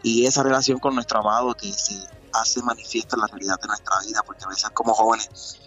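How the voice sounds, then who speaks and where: A man in his twenties with a Puerto Rican accent, a gospel singer talking earnestly about his faith in a remote interview, with pauses and fillers. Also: on the low side, little pitch movement, bright, forceful tone, muffled recording, soft background music. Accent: Puerto Rican Spanish. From Puerto Rico. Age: 20s.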